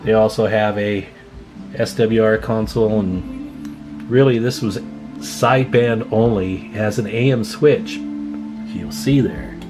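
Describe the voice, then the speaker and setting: A man with a fairly low voice talks casually through a hands-on demonstration, with plucked guitar music clearly playing behind him. He comes across as an informal, folksy hobbyist host speaking straight to his viewers. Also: slow pace. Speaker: radio repair hobbyist and video host.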